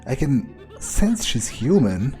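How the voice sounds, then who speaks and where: Guy doing a cocky foul-mouthed vampire in a game, slow mellow voice, mutters to himself, music low behind. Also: sing-song. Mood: puzzled musing. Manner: profane, muttering asides to himself. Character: crude, cocky male vampire, irritable and darkly musing. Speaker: game player in character. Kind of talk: improvised in-character game playthrough.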